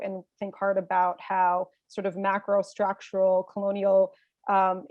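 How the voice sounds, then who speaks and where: A woman professor answers at length in a fairly even, level tone, circling through her points with steady hesitations. Her voice is close and dry, with no room sound. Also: medium pitch, no echo. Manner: earnest, expansive, filler-laden. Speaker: panelist, professor. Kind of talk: academic panel answer.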